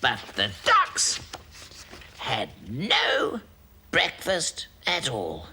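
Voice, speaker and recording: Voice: medium pitch, huge pitch swings, extremely slow, bright, forceful tone, slight background noise, full character voice. A performer playing a children's storyteller, telling a grim tale with exaggerated, sing-song relish. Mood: storytelling.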